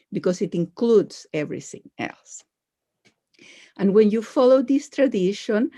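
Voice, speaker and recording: Chilean lady monk giving a spiritual talk, really slow and deliberate, soft mellow voice. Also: mid-range voice. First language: Spanish. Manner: measured, deliberate phrasing with pauses between words. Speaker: Vaishnava monk and spiritual teacher.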